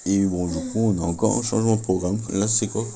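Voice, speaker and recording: A man's voice: on the low side, steady tone, quick pace, gravelly, mellow. A man chatting casually to his viewers as a vlogger, speaking informally. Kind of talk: casual vlog chat to viewers.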